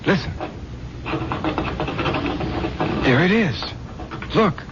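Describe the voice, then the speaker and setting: A man's voice: high-pitched, expressive, unhurried. An actor playing an earnest, eager newspaperman in a 1970s radio mystery, speaking insistently to his chief. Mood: hushed, watchful. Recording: dull, noisy 1970s radio recording.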